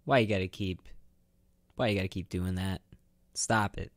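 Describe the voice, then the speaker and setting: A man's voice: low voice, steady tone, moderate pace, no room sound. A man co-hosting a casual radio show, giving opinions in a rambling way and repeating phrases as he makes his point. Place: radio studio.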